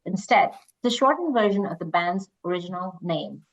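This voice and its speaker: A woman's voice: lowish voice, animated, normal speed. Dull-sounding woman hosting a quiz. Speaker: quiz host reading questions. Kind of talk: reading quiz questions, casual moderating.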